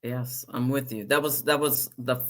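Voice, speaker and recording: Woman, podcast host, bright sharp tone, chatty, self-deprecating storyteller. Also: rumbling low, fairly even intonation, moderate pace. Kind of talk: podcast interview conversation.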